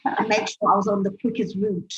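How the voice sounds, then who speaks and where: A woman from Nigeria with a British accent, chatting as a podcast guest. She tells her story in a relaxed, storytelling way and laughs easily. Her audio is a bit dull and lo-fi, and her pitch sits in the middle. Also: fairly quick. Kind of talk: casual interview conversation.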